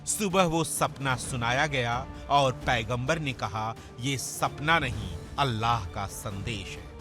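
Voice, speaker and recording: A man's voice: medium pitch, slow pace. A man delivering scripted, dramatic news documentary narration in a solemn, emphatic storytelling manner. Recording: music under the voice. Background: background music.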